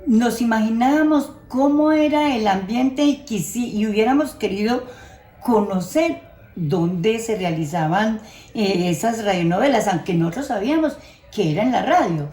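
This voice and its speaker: An older woman with a Colombian accent reminiscing in a plain-spoken, halting way, repeating words as she goes. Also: on the high side, animated, mellow, roomy sound, a little hiss or hum. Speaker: audience member giving testimony. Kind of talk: reminiscing testimony.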